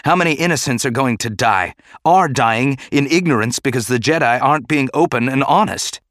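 A man voicing a middle-aged, hot-tempered senator in a dramatic audiobook, with a mellow voice and forceful, challenging delivery. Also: fairly low pitch, expressive, normal speed, no room sound, clean studio sound. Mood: angry. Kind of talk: dramatic audiobook character acting.

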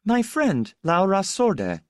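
A man's voice: sits high, wildly swooping pitch, takes it slowly, no echo, studio quality, pitched above the performer's own voice, full character voice. A man doing a shy, tearful schoolgirl. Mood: shy dreamy. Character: shy emotional teenage schoolgirl. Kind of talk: audiobook character voice.

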